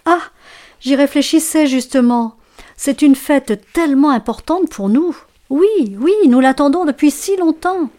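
A woman's voice: high-pitched, a little slow, few pauses, voice pushed up. A woman doing an eager talking fir tree. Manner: eager, dreamy.

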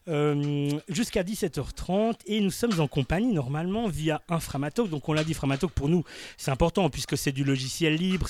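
A man's voice: on the high side, a little mellow, slight background noise. A man hosting a live radio interview from a studio, often rephrasing his questions as he goes. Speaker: radio host.

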